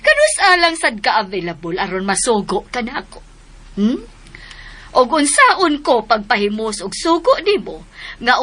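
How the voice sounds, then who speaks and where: A woman's voice: a little high, pushed and bright, heavily acted. A woman with a southern Philippine accent playing a stern, exasperated mother, reproachful and commanding, in a radio drama. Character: stern, exasperated widowed mother and household mistress.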